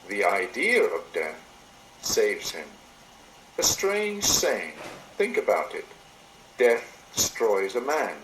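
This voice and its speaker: A man reads a sermon-like meditation aloud in a measured, solemn way with deliberate phrasing, recorded into a computer in a room. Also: mid-range voice. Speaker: reader of a meditation written by another. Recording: roomy, noisy amateur computer recording.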